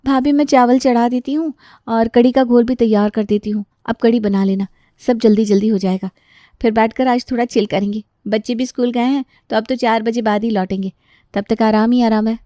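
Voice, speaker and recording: A woman's voice: a little high, fairly even intonation, a little fast, warm tone, dry recording, lightly acted. Woman playing a chatty, grumbling young daughter-in-law. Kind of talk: animated Hindi family story dialogue.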